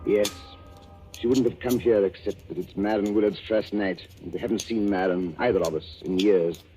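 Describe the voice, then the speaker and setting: Man doing an uneasy polite gentleman in a radio thriller, low mellow voice, hesitant, defensive. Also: moderate pace, soft background music, natural voice, poor audio. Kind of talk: 1940s radio acting.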